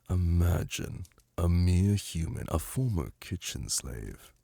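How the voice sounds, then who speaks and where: A man's voice: very deep voice, vocal fry, husky, dry recording, heavily acted. A man playing a commanding, seductive demon prince in an ASMR fantasy roleplay, speaking in a silky, formal and flirtatious way. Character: commanding, seductive male demon prince, doting yet imperious.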